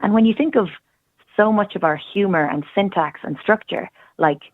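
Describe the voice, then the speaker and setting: An Irish woman speaks with earnest enthusiasm, her thoughts flowing in long, unbroken runs. She comes through a narrow, tinny phone line with a dry, close sound. Her pitch sits in a middling range. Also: moderate pace, no room sound. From Ireland. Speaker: guest, Irish language teacher. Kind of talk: casual radio interview.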